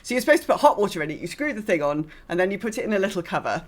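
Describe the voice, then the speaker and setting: A British woman with an average-pitched voice chats as a podcast host. She talks in a loose, rambling way, often hesitating and circling round her point. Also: very animated, very fast. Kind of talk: casual chat.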